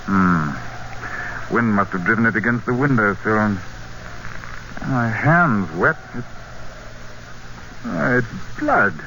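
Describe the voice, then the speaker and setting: A man's voice: lowish voice, animated, slow, pauses often, bright, forceful tone, exaggerated voice. An actor playing an anxious, practical husband and father in an old radio drama, dramatic and intense when narrating, hesitant in conversation. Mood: shaken, hushed. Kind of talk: old-time radio drama acting with first-person narration. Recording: muffled, very noisy old radio recording.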